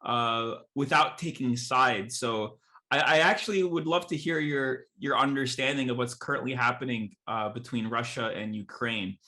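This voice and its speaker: A man's voice: mid-range voice, normal speed, pushed and bright. A man in his thirties hosting a podcast interview, asking friendly questions with lots of fillers and restarts. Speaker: podcast host and pastor. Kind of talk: casual interview chat.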